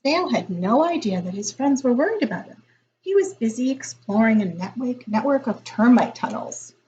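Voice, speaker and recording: A woman reading a picture book aloud as a teacher would, in a deliberate, expressive storytelling style. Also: fairly high pitch, muffled recording, slight background noise.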